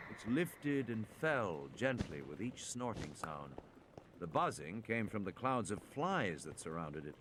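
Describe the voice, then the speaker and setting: A man reading a suspense novel as a narrator, with measured, enunciated dramatic delivery. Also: medium pitch, background noise. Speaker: audiobook narrator.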